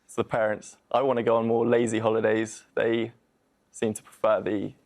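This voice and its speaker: A man's voice: lowish voice, little pitch movement, moderate pace, darkish tone. A twenty-year-old man from southern England with an English accent, chatting casually as a student contestant on a quiz show. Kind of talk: casual unscripted chat. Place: TV quiz studio.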